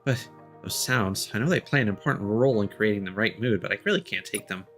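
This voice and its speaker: A man's voice: lowish voice, fast, a bit bright, faint music behind, close to an everyday voice. A man reading game dialogue aloud as a shy, clingy, sound-sensitive teenage girl, plaintive and earnest. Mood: uncomfortable.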